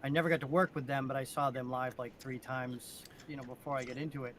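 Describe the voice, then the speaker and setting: Male panelist, mid-range voice, informal conversational read, faint rumble underneath. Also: steady tone, fast, fairly bright tone. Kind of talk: casual chat.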